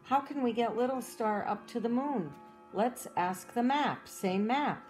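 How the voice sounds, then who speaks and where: A woman reading a children's storybook aloud as a cheerful young girl explorer, in a gentle, encouraging way that asks the listener questions. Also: on the high side, expressive, unhurried, soft background music. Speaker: storybook reader.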